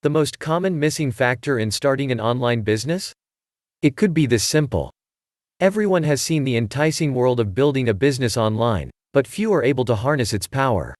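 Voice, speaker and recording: A man narrating a scripted marketing explainer in a measured, articulate, instructional way. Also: medium pitch, expressive, no echo, clean studio sound. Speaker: voice-over narrator.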